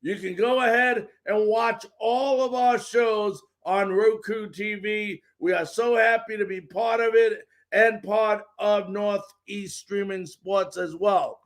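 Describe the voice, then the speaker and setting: A man's voice: high voice, fairly even intonation, slow pace, hardly any pauses. A man hosting a live sports talk show, speaking with an emphatic, announcer-style delivery.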